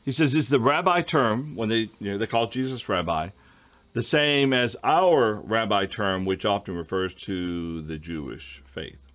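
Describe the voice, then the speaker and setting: A middle-aged man hosting a casual Bible study discussion over a video call, reading viewer questions and often clearing his throat. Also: on the low side, unhurried, a bit bright. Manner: conversational, frequent throat clearing. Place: home study with bookshelves.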